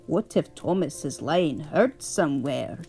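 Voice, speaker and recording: A woman's voice: deep voice, unhurried, faint music behind. A woman voicing a determined, eager male engine in a bedtime story, with an English accent. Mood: urgent. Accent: put-on English. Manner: urgent, excited exclaiming.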